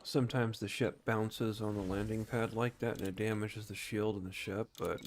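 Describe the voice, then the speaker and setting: A man with a fairly low voice streams a game. He talks casually through his play and issues short commands, with faint noise behind him. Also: steady tone, moderate pace. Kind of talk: casual gameplay commentary and voice commands.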